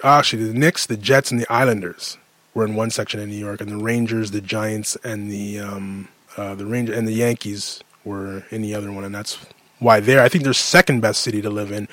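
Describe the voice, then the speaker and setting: A man's voice: fairly low pitch, a bit bright, no room sound. Exasperated Canadian man ranting, close dry sound. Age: late 30s. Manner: exasperated, emphatic, repeats phrases for effect. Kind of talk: casual sports rant monologue.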